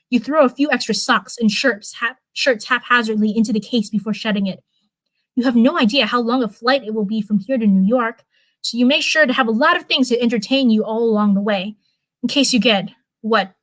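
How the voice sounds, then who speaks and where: A woman's voice: a little high, a little fast, fairly bright tone, no echo, poor audio. A woman reading a story aloud as narration, now and then stumbling over a word and going back over it. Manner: stumbles over words and rereads them. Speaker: live stream host reading fan fiction.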